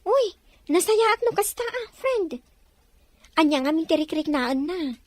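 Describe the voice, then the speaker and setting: A woman acting a chatty, concerned friend in a melodrama, with a Filipino accent and expressive, exclaiming delivery. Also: very high-pitched, a little slow, no room sound. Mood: curious, concerned.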